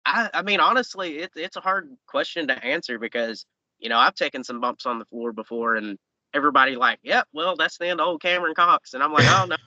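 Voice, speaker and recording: Bright-voiced young man, rambling. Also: a little high, fairly quick, no echo, muffled recording. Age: 20s. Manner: easygoing, rambling with fillers and restarts. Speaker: professional wrestler guest. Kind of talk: casual interview chat.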